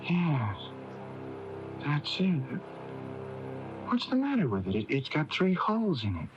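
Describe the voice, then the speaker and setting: An actor playing a troubled, withdrawn young man in a radio mystery drama, haunted and evasive, speaking haltingly and defensively. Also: on the high side, huge pitch swings. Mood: bewildered. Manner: halting, defensive, repeating himself. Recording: muffled 1980s AM radio tape, music behind.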